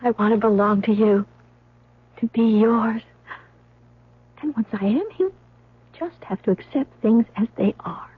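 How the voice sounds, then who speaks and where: A woman's voice: fairly high pitch, measured pace, pauses often, husky. Young woman in love, radio play, tender, very airy voice, narrow phone-like broadcast tone. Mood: yearning. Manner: tender, earnest. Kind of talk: 1970s radio drama acting. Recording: tinny, dry old radio broadcast.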